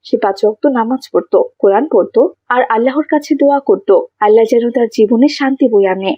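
A woman's voice: fairly high pitch, quick pace, no echo, dull audio. A woman narrating a scripted moral story for children.

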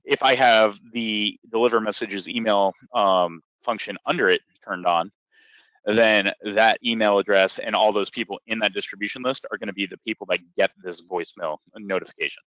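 A man working as a software trainer, explaining a screen step by step in an informal, instructional way for a webinar. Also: on the low side, steady tone, normal speed, no echo, rough recording.

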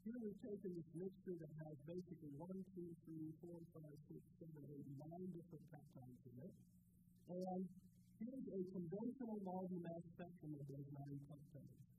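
A man's voice: on the high side, normal speed, music in the background, poor audio. A middle-aged man giving a technical conference lecture, explaining step by step as a presenting scientist at a podium. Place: indoor lecture room at a podium.

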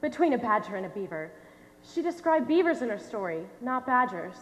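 A woman's voice: on the high side, big pitch swings, brisk, slight background noise. A young woman playing an earnest, exasperated scientist in an amateur stage play, firm and explanatory in delivery. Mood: patient, firm. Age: young adult. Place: school theatre stage. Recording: echoey theatre hall.

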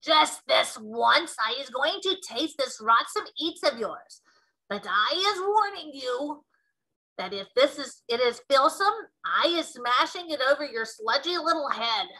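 A woman's voice: sits high, expressive, a little slow, sharp tone, pitched above the performer's own voice, full character voice, low-quality recording. A woman voicing a greedy, brutish male man-eating giant with a menacing, contemptuous manner in a storybook read-aloud. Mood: suspicious, threatening.

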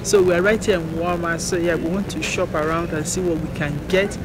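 A woman's voice: lowish voice, steady tone, brisk, heavy background noise. A woman in her thirties from Nigeria, chatting casually to viewers on a family vlog, filmed on a handheld phone in a big store. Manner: chatty, addresses viewers directly. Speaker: vlogger mom. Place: large store, indoors. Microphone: phone camera held at arm's length.

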